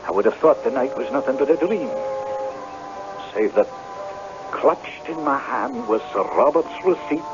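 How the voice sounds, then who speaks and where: A grave storyteller , played by a man narrates in a measured, mid-pitched voice. Music plays clearly behind the dull, old-time radio recording. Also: lively intonation, natural voice. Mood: troubled, reflective. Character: grave Scottish storyteller recounting his uncanny adventure. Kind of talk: dramatic first-person radio narration.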